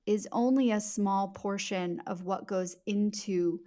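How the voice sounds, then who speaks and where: A woman chats as an author guest on a podcast. Her voice sits at a middle pitch. She explains in an articulate, conversational way and puts emphasis on the words that matter. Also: fairly even intonation, a little slow, dark tone.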